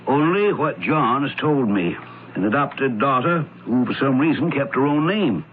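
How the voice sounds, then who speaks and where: An actor playing a guarded, confiding family doctor who speaks deliberately in a radio mystery drama. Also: male voice, medium pitch, lively intonation, natural voice. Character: male family doctor, guarded, gossipy professional. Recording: noisy, tinny old radio broadcast.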